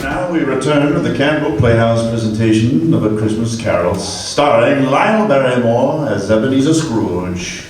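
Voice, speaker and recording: A man narrating a radio play in a measured, dramatic manner, heard on an old broadcast recording. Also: mid-range voice, expressive, very echoey, distant mic, music in the background, low-quality recording. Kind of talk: scripted narration.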